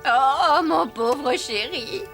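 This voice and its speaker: A woman's voice: high voice, animated, very slow, gravelly, over loud music, heavily acted. A woman voicing a doting, overwrought mother beetle in a children's cartoon, with a melodramatic delivery. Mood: pining.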